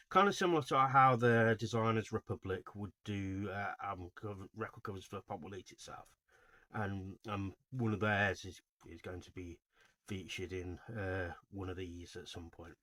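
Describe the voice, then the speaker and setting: A man giving informal, unscripted video commentary as a host, in a halting, rambling way with frequent self-corrections. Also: on the low side, fairly bright tone. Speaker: host of a video series on album cover art.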